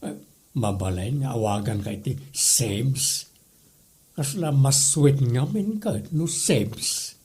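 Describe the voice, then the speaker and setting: A man's voice: medium pitch, lively intonation, takes it slowly, darkish tone, slightly rough, exaggerated voice. A man playing a flirtatious middle-aged uncle in a comedy audio drama, cajoling and teasing with a Northern Philippine accent.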